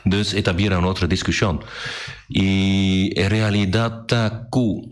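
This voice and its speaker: A man with a rather low voice takes part in a radio studio discussion. His first language is Dutch, and he speaks as a guest who teaches languages. He stops and repeats words often as he looks for the right one. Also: level tone. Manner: halting, repeats words while searching.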